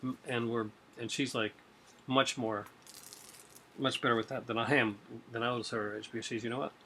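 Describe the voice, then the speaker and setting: A man's voice: medium pitch, fairly even intonation, normal speed, fairly bright tone, slight background noise. A middle-aged man with a light Newfoundland accent, chatting casually and rambling about his purchases with many fillers, filmed by webcam at home. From Newfoundland, Canada. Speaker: video host. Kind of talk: casual chat showing purchases. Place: home room with shelves and a TV.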